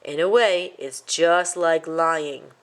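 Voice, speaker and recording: Woman doing a wise papa mouse in a kids storybook, measured, bit stern and admonishing, keeping an eye on his kid. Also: deep voice. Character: wise, watchful father church mouse, adult male. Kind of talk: children's storybook character voice.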